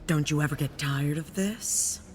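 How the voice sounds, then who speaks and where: Earnest, low-voiced young heroine. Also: female voice, unhurried, in a large hall, soft background music. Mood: weary challenge. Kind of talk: audio drama acting.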